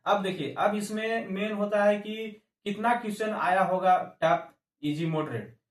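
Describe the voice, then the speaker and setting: Echoey, higher-pitched male coaching teacher. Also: measured pace. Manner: repeats and restarts phrases, mixes in English terms. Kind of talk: informal explanatory lecture to exam aspirants. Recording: echoey room, distant microphone.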